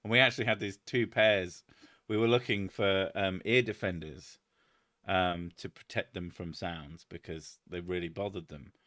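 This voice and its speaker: A man hosting a casual chat, loud and booming, swearing freely as he answers viewer comments. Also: fairly even intonation, normal speed, dry recording, clean studio sound. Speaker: channel host.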